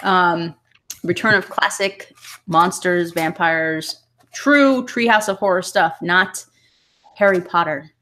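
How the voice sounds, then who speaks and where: A woman hosting a casual podcast, relaxed and a little hesitant, chuckling now and then. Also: fairly low pitch, takes it slowly. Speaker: podcast host.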